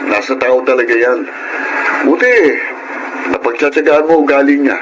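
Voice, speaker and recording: A man's voice: medium pitch, moderate pace, noisy background, lightly acted. Man playing a wry, griping younger Filipino man. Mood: wry, exasperated. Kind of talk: Filipino radio drama narration and acting. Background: music.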